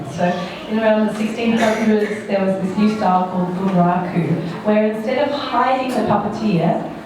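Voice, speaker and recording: A woman giving an informal explanatory talk to an audience as a guest speaker. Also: mid-range voice. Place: echoey indoor venue before an audience. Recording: echoey hall, distant mic, noisy room.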